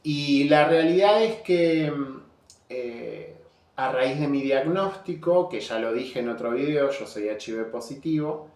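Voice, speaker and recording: A young man with an Argentine Rioplatense accent, talking candidly and earnestly in a personal vlog, with halting restarts. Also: medium pitch, darkish tone, roomy sound. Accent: Argentine Rioplatense Spanish. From Buenos Aires province, Argentina. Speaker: vlogger. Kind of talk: casual personal vlog monologue.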